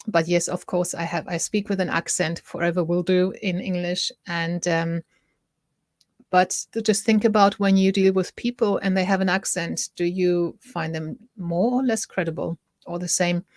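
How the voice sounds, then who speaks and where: A woman's voice: on the low side, steady tone, moderate pace, a little mellow, no echo, studio quality. A middle-aged woman with a German accent, hosting a casual talk to camera, chatty, often breaking off mid-sentence to ask viewers what they think. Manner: chatty, self-interrupting, asks viewers for opinions. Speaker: host.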